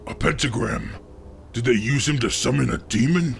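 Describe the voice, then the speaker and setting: An actor playing a gruff, blunt old orc soldier in a radio play, with a gravelly voice. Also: male voice, medium pitch, normal speed, soft background music, lightly acted. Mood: puzzled.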